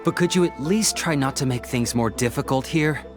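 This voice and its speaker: An actor playing an earnest, polite young male detective's assistant in a video game, with an expressive, pleading manner that is easily exasperated. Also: mid-range voice, normal speed, close to an everyday voice. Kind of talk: English video game voice acting. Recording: background music under the dialogue.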